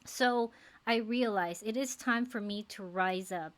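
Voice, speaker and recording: A woman's voice: medium pitch, a little slow. Woman, forties, Filipino accent, English as second language, encouraging coach, casual talk to camera. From Philippines. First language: not English. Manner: earnest, encouraging, uses many fillers. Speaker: faith-based life coach.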